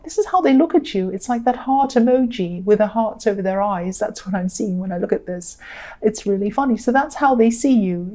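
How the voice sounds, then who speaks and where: A woman reading tarot cards to her viewers, her voice mellow and a little croaky. She talks gently and loosely, circling back on her phrases and pausing on "um" as she works out what she sees. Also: medium pitch. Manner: gentle, repetitive, thinking aloud with fillers. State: slightly croaky. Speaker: tarot reader. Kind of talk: solo tarot reading to camera.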